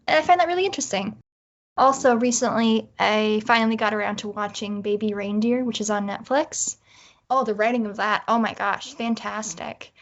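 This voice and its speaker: A woman's voice: medium pitch, normal speed. A woman chatting casually and effusively as an interview guest, on slightly lo-fi audio. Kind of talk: casual chat.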